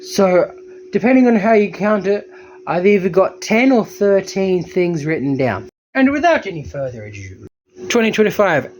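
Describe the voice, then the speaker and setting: A man narrating his own vlog in a chatty, self-mocking way. His fairly high voice jumps around a lot in pitch. A faint hiss sits under the handheld phone audio. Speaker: homesteading vlogger.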